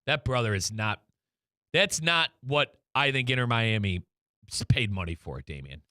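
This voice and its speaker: A man's voice: mid-range voice, animated, normal speed, sharp tone, dry recording, clean studio sound. A man hosting a soccer talk show in a casual, opinionated and emphatic way. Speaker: soccer show host and radio play-by-play commentator.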